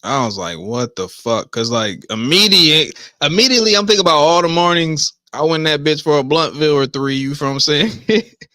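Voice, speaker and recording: Male host, bright forceful tone, dry close mic, loud slangy banter. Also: on the high side, a little fast, no echo. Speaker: podcast host. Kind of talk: casual chat.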